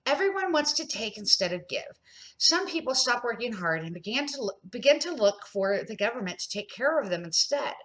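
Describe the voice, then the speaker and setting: A woman's voice: on the high side, big pitch swings, brisk, fairly bright tone, low-quality recording. A woman reading a children's book as a kindly, earnest man who instructs two children with emphasis. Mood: earnest, instructive. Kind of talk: children's picture-book read-aloud.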